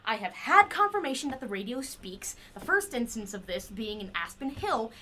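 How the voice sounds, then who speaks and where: Audio drama role, for a woman: eccentric female scientist, proud and obsessive, formal wording, raised pitch with lively swings. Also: a little hiss or hum, low-quality recording. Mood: clinical, frustrated. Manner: formal clinical diction breaking into pleading outbursts. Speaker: voice actor. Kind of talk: audio drama monologue as recorded research notes.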